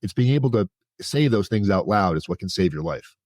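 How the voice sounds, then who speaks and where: A man's voice: fairly low pitch, animated, a little fast, darkish tone, no room sound. A man in his forties speaking as a podcast guest, telling his own story in a frank, conversational way. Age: 40s. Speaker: podcast guest, prison consultant. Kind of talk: candid personal storytelling in an interview.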